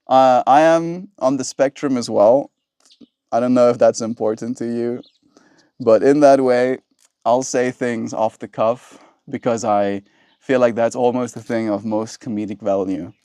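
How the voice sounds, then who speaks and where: A man's voice: medium pitch, darkish tone, no echo, clean studio sound. A man in his twenties, a native Afrikaans speaker from southern Africa, chatting casually with his stream audience in a playful, rambling way. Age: 20s. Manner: playful, rambling, laughs often. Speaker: livestreamer.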